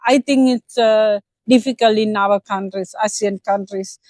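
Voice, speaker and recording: A middle-aged woman from Sri Lanka with a Sri Lankan accent, a restaurant owner answering interview questions in halting English with many fillers. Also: medium pitch, steady tone, darkish tone, no echo. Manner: hesitant non-native English with many fillers, slips into German. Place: outdoors in front of her restaurant, then inside.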